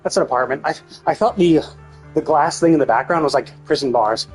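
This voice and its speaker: A man doing casual live game stream commentary, rambling and thinking out loud with casual swearing. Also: on the high side, a little fast, low-quality recording. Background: faint game music.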